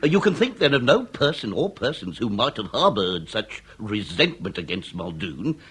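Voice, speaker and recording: An actor playing a wily, ponderous police inspector in a period comedy radio drama, with ornate and halting questions. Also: male voice, lowish voice, big pitch swings, a bit bright, slightly rough, heavily acted, poor audio. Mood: probing.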